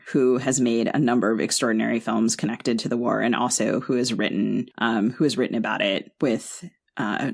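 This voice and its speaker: Creaky-voiced woman, thoughtful interview guest. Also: extremely low pitch. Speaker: author, podcast guest. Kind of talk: thoughtful conversational interview answers.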